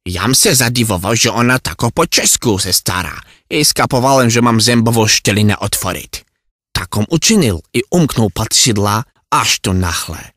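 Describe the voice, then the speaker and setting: A man's voice: fairly low pitch, lively intonation, normal speed, a bit bright, dry recording, clean studio sound. A man playing a hapless, exasperated Czech lost in Poland, ranting in a comic monologue with a Czech accent. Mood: aggrieved storytelling. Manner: flustered ranting in garbled mock Czech-Polish.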